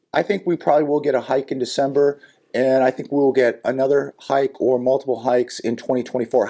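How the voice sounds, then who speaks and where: A man with a hoarse, raspy voice from a sinus infection, hosting a solo commentary vlog in an earnest, cautionary way with many fillers and repeated words. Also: medium pitch, darkish tone. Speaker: channel host and economy commentator.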